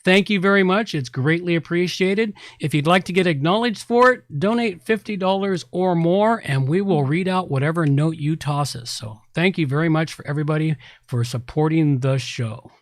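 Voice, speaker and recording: A man's voice: on the high side, normal speed, no room sound, studio quality. A man hosting a podcast, talking casually and informally through reviews with chatty asides. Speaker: podcast host.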